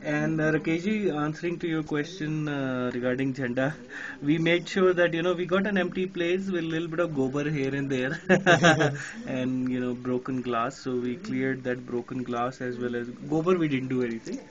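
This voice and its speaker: A man's voice: a little high, steady tone, moderate pace, lo-fi sound. A man with an Indian accent, chatting casually as a ham radio operator, laughing often, outdoors at night in the rain.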